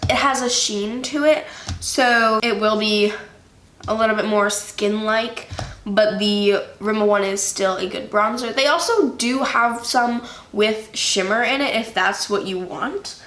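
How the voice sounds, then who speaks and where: A woman's voice: medium pitch, fairly bright tone. A young woman chatting through a makeup tutorial, slightly nasal from a cold, with lots of fillers. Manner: chatty, filler-heavy, unhurried. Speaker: beauty vlogger. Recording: echoey room with faint noise.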